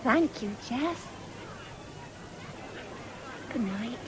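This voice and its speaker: A woman's voice: a little high, lively intonation, drawn-out words, crowd noise, background noise. An actress playing a polite, sensible young English servant girl in a radio drama. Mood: shy, pleased. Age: young adult. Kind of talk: 1970s radio drama acting.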